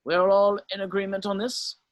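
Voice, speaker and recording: A man playing a calm, courteous old wizard headmaster, measured and assured, in a table read. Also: high-pitched, no room sound, close to an everyday voice. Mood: firm. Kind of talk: amateur online table read of a screenplay.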